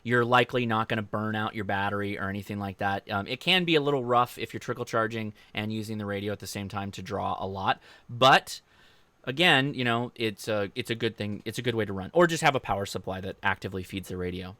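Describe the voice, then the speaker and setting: Casting: American male hobby streamer, crisp bright voice, dry close sound, chatty and rambling. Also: lowish voice, fast, no echo. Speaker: host of a ham radio channel. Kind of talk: casual livestream chat, answering viewer comments.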